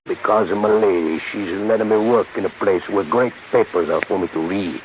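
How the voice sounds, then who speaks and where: A man's voice: on the low side, brisk, natural voice. An actor playing a proud, eager Italian immigrant handyman in a radio drama, speaking earnest broken English with an Italian accent. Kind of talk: 1950s radio drama acting. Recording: noisy, muffled old 1950s radio recording.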